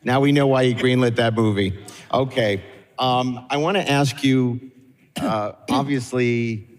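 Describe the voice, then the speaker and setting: A man's voice: medium pitch, unhurried. A man casually moderating a live interview as host. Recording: echoey hall with long reverb.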